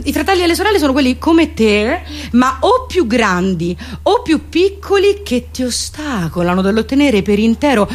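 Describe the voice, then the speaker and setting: A woman performing a comic monologue in a theatrical, mock-solemn, exaggerated way. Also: high voice. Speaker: actress and comedian performing her own monologue.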